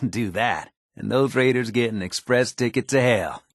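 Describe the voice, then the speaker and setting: An actor playing a folksy, wisecracking American tinkerer in a video game, talking in casual slang. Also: male voice, medium pitch, unhurried, no room sound, clean studio sound. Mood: eager, persuasive. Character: folksy, wisecracking male tinkerer and scrappy settler ally.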